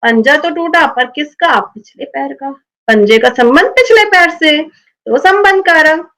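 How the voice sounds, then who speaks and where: A woman's voice: high-pitched, expressive, measured pace, a bit bright. A woman teaching a grammar lesson to children, explaining step by step and often checking understanding with questions.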